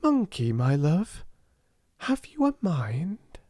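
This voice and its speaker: A British man voicing a kind, imaginative young girl in a storybook, soft, coaxing and tender with animals. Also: on the high side, huge pitch swings, slow pace, no echo, studio quality, pitched above the performer's own voice, exaggerated voice. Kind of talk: storybook character voice.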